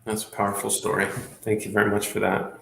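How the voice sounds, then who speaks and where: A man moderates a video call panel, speaking quickly and courteously. His voice is fairly low and flat. The room around him is echoey. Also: gravelly.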